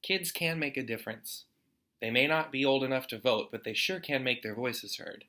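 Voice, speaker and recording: A man's voice: medium pitch, normal speed, pushed and bright. An American man hosting a storytime, reading a children's picture book aloud expressively to students.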